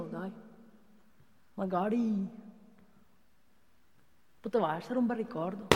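A woman's voice: fairly high pitch, a little mellow. An actress playing an earnest, naive provincial policewoman in a theatrical comic monologue, prim and confiding, with a northern Italian accent. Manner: prim, confiding, emphatic when alarmed. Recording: reverberant theatre hall.

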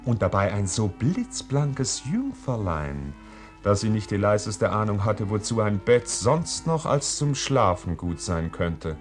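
An actor playing an earthy, salacious, mock-emphatic storyteller in a 1940s radio play. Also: male voice, fairly low pitch, very animated, a little slow, faint music behind. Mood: salacious relish. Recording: vintage 1940s radio recording.

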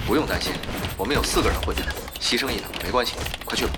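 A man's voice: medium pitch, rapid-fire, heavy background noise, close to an everyday voice. An actor playing a composed, resigned young male guild leader in an audio drama. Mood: calm, decisive.

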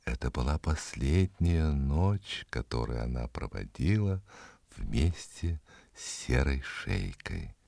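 A man with a very deep voice narrates a fairy tale. His delivery is slow and dramatic, with expressive rises and falls. The recording is dry and close. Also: creaky, no room sound.

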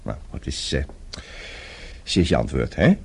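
A man's voice: a bass voice, huge pitch swings, a little slow, creaky, darkish tone, breathy edge, heavily acted. An actor playing a teasing, defensive man in a radio play, hesitant and bantering. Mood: playful, sheepish.

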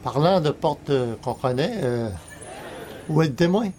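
An actor playing an easygoing, concerned friend in a radio comedy, with a Quebec French accent. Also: male voice, fairly high pitch, expressive, a little slow, dark tone, a little hiss or hum. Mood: puzzled, dismayed. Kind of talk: old-time radio comedy acting.

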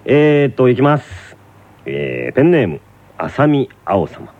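A man's voice: medium pitch, wildly swooping pitch, a little slow, vocal fry. A man hosting a casual radio corner, bantering with co-hosts and often tossing in short repeated agreements. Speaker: radio show host. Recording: dull lo-fi radio, loud music behind. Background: loud background music.

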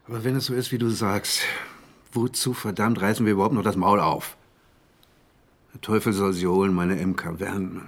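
An actor playing a troubled, intense male scientist who narrates his own ordeal in measured radio drama style. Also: lowish voice, steady tone, dry recording. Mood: bitter, exasperated. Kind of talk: German radio drama, literary first-person narration.

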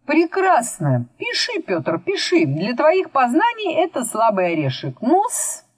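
A woman's voice: sits high, huge pitch swings, slow pace, breathy edge, no echo, clean studio sound, voice pushed up, heavily acted. A woman voicing a fussy, mock-courteous male schoolteacher in a storybook, drawling and mumbling with formal politeness.

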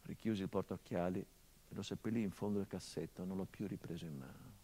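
A male actor delivering a theatrical monologue as a son remembering his father, measured and intimate, swelling into urgency. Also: deep voice, steady tone, dark tone, slight background noise, natural voice.

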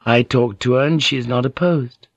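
A man's voice: medium pitch, moderate pace, no room sound, pitched above the performer's own voice, close to an everyday voice. A man voicing a devoted, proud young noblewoman, tender yet fiery, with formal, archaic, earnest speech in an audiobook. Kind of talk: audiobook character dialogue.

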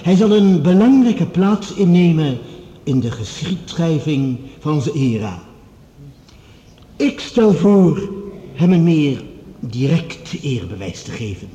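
A very dark, mellow-voiced professor in a radio play. He is smooth and calculating, choosing formal, oratorical phrases. His voice rings in a roomy space. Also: a little high, sing-song, slow pace, highs cut off. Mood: solemn oratory. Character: smooth, calculating male professor, grandly formal rival scientist. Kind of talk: radio drama acting.

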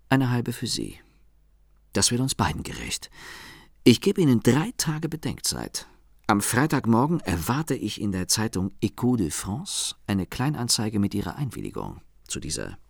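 A smug gentleman thief who taunts his victims. His low, gravelly voice is dry and close, faint music beneath him in a radio play. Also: dry recording, heavily acted. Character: suave, mocking gentleman thief.

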